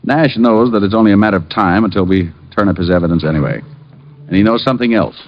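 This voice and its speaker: An actor playing a wry, confident private detective in an old radio drama, speaking and narrating in a dry, clipped manner. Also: male voice, lowish voice, animated, fast talker, slight background noise. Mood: confident persuasion. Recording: muffled old radio recording with music.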